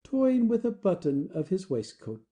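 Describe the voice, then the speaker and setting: A woman's voice: medium pitch, sing-song, moderate pace, warm tone, lo-fi sound. A woman giving scripted narration, reading out the stage directions of an audio play.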